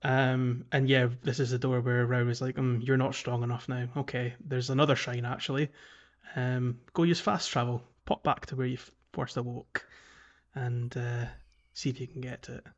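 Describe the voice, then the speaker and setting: A man's voice: medium pitch, flat delivery, moderate pace, lo-fi sound. A man talks casually as a podcast co-host recapping a game. His delivery is conversational and loosely explanatory, and he qualifies his points with small asides.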